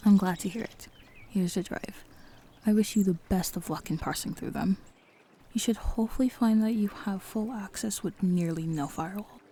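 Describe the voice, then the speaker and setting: A woman's voice: medium pitch, normal speed, dark tone, a little hiss or hum, natural voice. A woman playing a principled, determined hero and loyal ally in an audio roleplay, speaking earnestly and resolutely. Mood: sincere.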